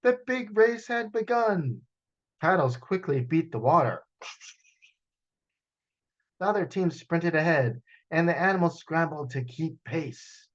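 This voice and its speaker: Slow-paced man reading a picture book. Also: fairly high pitch, muffled recording. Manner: expressive, with dramatic gasps and sound effects. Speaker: children's author reading his book aloud. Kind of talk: animated picture-book storytelling.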